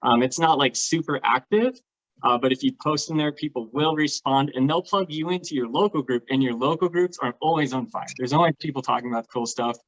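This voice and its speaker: A man with a middle-pitched voice explains his hobby in an enthusiastic, casual way. The recording is slightly dull and lo-fi, like an online chat. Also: fairly quick. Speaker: guest, LARP participant.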